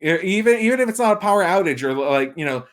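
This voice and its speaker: A man's voice: high voice, animated, quick pace, a bit bright. A man speaking casually and enthusiastically as a guest on a video game podcast.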